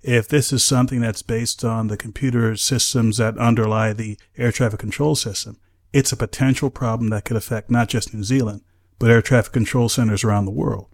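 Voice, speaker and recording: An American man speaking as an aviation safety analyst, in a measured, careful, explanatory way. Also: mid-range voice, steady tone. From United States. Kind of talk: measured explanatory interview talk.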